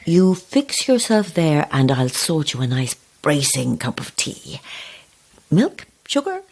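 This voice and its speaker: A woman in her seventies in an audio drama, with a low, husky voice that swoops up and down. She is a motherly hostess with a sly, teasing streak and a blunt tongue. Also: very animated, slow, darkish tone, exaggerated voice. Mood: fussing, motherly. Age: 70s. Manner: blunt, playful, cooing endearments. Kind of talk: audio horror drama acting.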